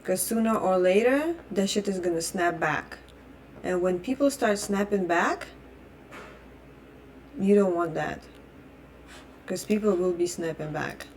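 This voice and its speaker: A woman's voice: fairly low pitch, measured pace, pauses often, darkish tone, a little hiss or hum. Blunt, profane woman ranting. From Russia. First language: Russian. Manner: repeats and restarts phrases. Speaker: vlogger. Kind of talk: opinion rant.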